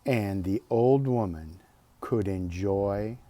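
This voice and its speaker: A man reading a children's picture book aloud expressively, drawing out words, pausing and adding casual asides. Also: fairly low pitch. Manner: expressive, with drawn-out words and pauses. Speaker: storybook reader.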